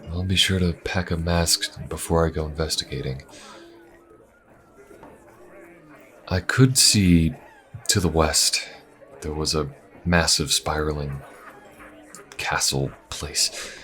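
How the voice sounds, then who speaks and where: A wry, weary inquisitor adventurer, acted by a man with an extremely low voice. He talks casually and dryly, musing with hesitant pauses, as if chatting to one listener in a fantasy audio roleplay. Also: fairly even intonation, sharp tone, soft background music, full character voice. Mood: curious, wry.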